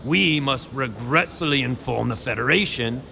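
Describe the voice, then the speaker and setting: An actor playing a cautious, stubborn townsman in an audio drama, speaking with emphatic oratory and a gravelly voice. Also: mid-range voice, normal speed, pushed and bright, a little hiss or hum. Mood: insistent, grim. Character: cautious, stubborn townsman urging appeasement and survival.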